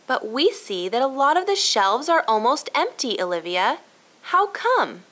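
Chirpy big-sister girl for a storybook played by a woman, high and very animated. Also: unhurried, full character voice. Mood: curious. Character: eager, bright young girl, helpful big sister.

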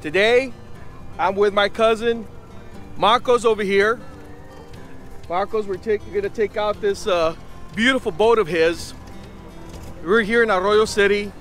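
Guy filming himself fishing on a boat, kinda high voice, laid back chatter, music under it. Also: measured pace, long pauses. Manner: easygoing, chatty, bursts into excited shouts. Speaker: fishing vlogger and host. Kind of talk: casual on-camera fishing vlog chat. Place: outdoors on a boat on a bay. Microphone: handheld or head-mounted camera.

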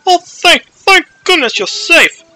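A man's voice: extremely high pitch, big pitch swings, slow, sharp tone, faint music behind, voice pushed up, heavily acted. A man playing an anxious, fawning male pirate underling in a comedic game dub, stammering and fretful. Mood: fretful, relieved.

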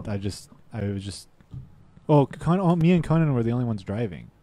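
A man chatting on a podcast as a band guest, telling stories in a lively way and acting out what people said, at a desk mic in a small studio. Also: medium pitch, fairly quick, no room sound. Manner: animated storytelling, acts out quotes. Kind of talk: casual podcast chat. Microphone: desk mic with headphones.

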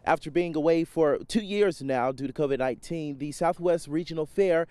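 A man's voice: fairly high pitch, moderate pace, dark tone, smooth. A man reading a scripted voice-over for a television news report.

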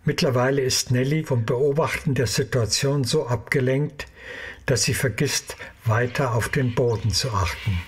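Male narrator for an audio drama, medium pitch, husky texture, scripted storytelling. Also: moderate pace.

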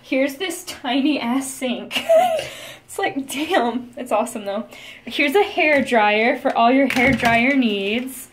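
Young woman vlogger, high-pitched and excitable, recorded on a handheld phone. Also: slow pace, slight background noise. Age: young adult. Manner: bubbly, giggly, excitable. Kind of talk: casual vlog chat. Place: car, then small hotel room. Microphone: phone held at arm's length.

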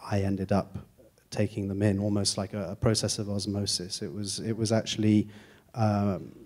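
A man, a film director, answering an audience question at a cinema Q&A, thoughtful and halting, with restarts. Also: lowish voice, little pitch movement, a little mellow. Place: cinema stage at a Q&A. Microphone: handheld mic.

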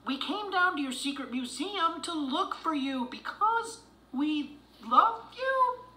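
A man's voice: very high voice, lively intonation, slow, pushed and bright, echoey room, full character voice, low-quality recording. A man voicing a cheeky, eager young duck nephew in a cartoon audio storybook. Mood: sheepish.

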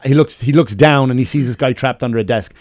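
Male comedian, Irish accent, quick and sweary, emphatic podcast chat, dry close-mic sound. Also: mid-range voice, a little mellow, no room sound. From Ireland. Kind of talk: casual podcast storytelling. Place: small podcast studio. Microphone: close studio microphone with headphones.

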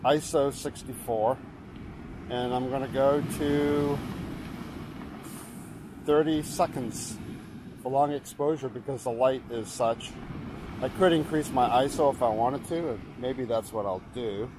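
A man in his fifties, from Portland, Maine, talks through his shot in an easygoing, meandering way while crouched on a rocky beach. His voice is recorded on a camera mic outdoors, with the steady rush of surf clearly heard behind him. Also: mid-range voice, unhurried, pauses often. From Portland, Maine, USA. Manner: relaxed, explaining as he works, many fillers and restarts. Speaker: landscape photographer. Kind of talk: casual vlog narration while shooting. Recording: outdoor noise from waves. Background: surf and ambient noise.

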